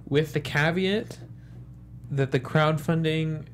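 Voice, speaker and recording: A man's voice: fairly high pitch, takes it slowly, slight background noise. A man co-hosting a casual panel, giving opinions in a sceptical, grumbling way. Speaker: panel co-host.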